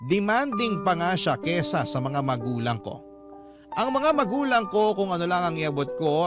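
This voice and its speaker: A man reading scripted first-person narration as the storyteller of a Filipino radio drama. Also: a little high.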